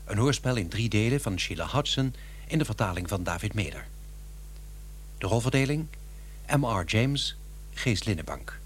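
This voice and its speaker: A man's voice: fairly low pitch, animated, normal speed, pauses often, a little hiss or hum. A man announcing a radio play, formally reading out scripted credits.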